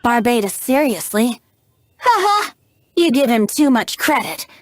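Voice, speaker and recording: A woman's voice: a little high, big pitch swings, measured pace, a little hiss or hum. A woman voicing a youthful male bard in a video game, playful and sly, with a lilting, poetic, teasing delivery. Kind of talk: English video game voice acting.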